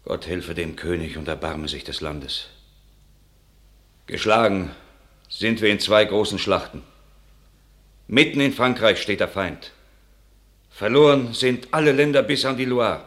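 An earnest country man in a classic radio play, carrying grim news of war. His voice is very deep, and he tells his report with dramatic weight. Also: measured pace, pauses often, fairly bright tone. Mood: alarmed.

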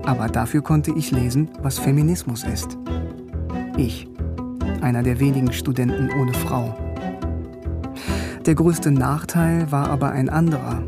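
An actor playing a bookish young East German philosophy student in a radio drama, narrating in a wry, literate way. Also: male voice, medium pitch, fairly even intonation, a little slow, long pauses, music in the background, lightly acted. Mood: wry, reflective. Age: young adult. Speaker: narrator and lead.

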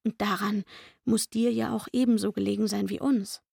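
An actress playing a pleading young chambermaid in a radio play, speaking intimately and wistfully. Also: female voice, medium pitch, fairly even intonation, normal speed, gravelly, a little mellow, husky, dry recording, clean studio sound. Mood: coaxing, pleading. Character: pleading young chambermaid luring a man into secret shelter. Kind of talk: literary radio play acting.

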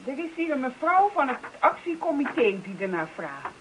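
High-voiced, exasperated wife. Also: female voice, sing-song, brisk. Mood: calling, pointed. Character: exasperated wife calling to her husband. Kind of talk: radio play acting. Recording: noisy, hissy old radio recording.

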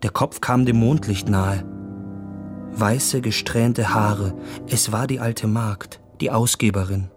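A man's voice: fairly low pitch, slow pace. An actor playing a feverish, yearning young man in introspective monologue in a German literary radio drama. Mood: uneasy. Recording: studio voice with music behind.

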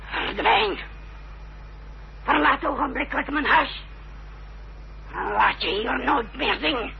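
A woman's voice: sits high, creaky, heavily acted. An actress playing a bitter, haughty, secretive mother in a radio drama, curt and contemptuous, on a dull, noisy old recording. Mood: indignant, angry. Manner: curt, contemptuous, measured delivery.

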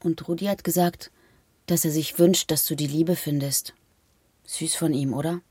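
A middle-aged mother, husky and on the low side. She is proud and chatty, in a naturalistic radio drama style. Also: steady tone, normal speed, dark tone, dry recording. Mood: chatty, pleased.

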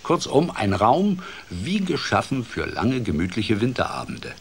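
An actor playing a playful storyteller who tells a children's fairy tale in an expressive, theatrical way for a radio drama. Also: male voice, mid-range voice, measured pace, gravelly, a little hiss or hum. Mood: cosy, amused.

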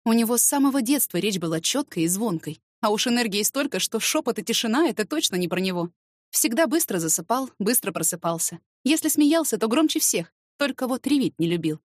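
Woman narrating a romance audiobook, highish lively voice, dry sound. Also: brisk, dry recording. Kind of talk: expressive first-person fiction narration.

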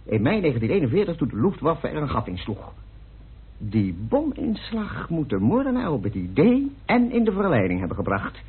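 An amateur detective argues his case emphatically in a radio play, throwing out rhetorical questions. His voice is very raspy and animated. The old recording sounds boxed-in and narrow. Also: a little high, moderate pace, heavily acted. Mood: argumentative, emphatic. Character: argumentative, reasoning male amateur detective.

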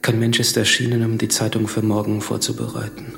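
A low-voiced, gravelly man, alone as the last human on earth. He speaks a literary radio play monologue in a measured, introspective, brooding way. Music plays behind him. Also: monotone. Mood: sober, bewildered. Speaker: actor narrating a radio play.

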